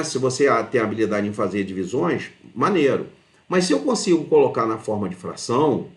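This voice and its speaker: The voice sits in an echoing room and belongs to a Brazilian man teaching informally. His intonation swings widely on a slightly raised pitch. He explains one step at a time and often tags his phrases with small check-in questions. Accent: Brazilian Portuguese. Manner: conversational, often checks understanding with short tag questions. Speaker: math teacher. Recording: roomy, echoing voice.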